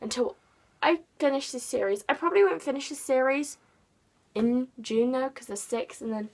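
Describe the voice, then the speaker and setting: Brief: English woman, book vlogger, bright high voice, rambly and self-mocking. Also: moderate pace. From England. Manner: chatty, rambling, self-deprecating, playful. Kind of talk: casual chat to camera.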